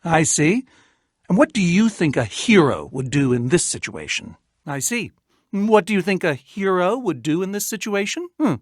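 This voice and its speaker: Game role: eccentric reclusive adventurer, wistful and fussy, theatrical wordy delivery with lively pitch swings, very dry close recording. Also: male voice, moderate pace, dry recording. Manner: theatrical, wordy, dryly self-pitying. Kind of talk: animated video game character acting.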